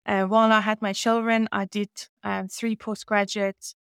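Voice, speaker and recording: A woman in her fifties from Iran talks steadily through her career. Her accent mixes Scottish and English, with a smooth, resonant tone and some gravel underneath. The recording is dry and close. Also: mid-range voice, moderate pace, no room sound. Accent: mixed Scottish-English. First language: Persian. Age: 50s. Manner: fluent, steady telling of her career with fillers. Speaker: guest, company founder. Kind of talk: conversational interview.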